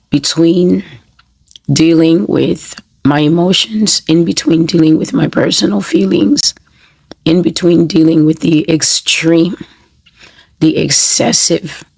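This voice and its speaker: A woman minister with a hoarse voice she says she has lost, speaking a sermon to camera in slow, measured, repetitive phrases. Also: sits low, darkish tone, dry recording. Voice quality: raspy, breathy and gravelly. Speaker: prophetic minister. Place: New York City.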